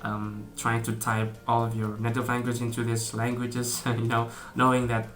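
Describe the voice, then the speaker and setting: Bright-voiced young Indonesian man, hesitant. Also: mid-range voice, monotone, normal speed. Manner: hesitant, many fillers and restarts, laughs often. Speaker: vlogger. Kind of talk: casual talk to camera.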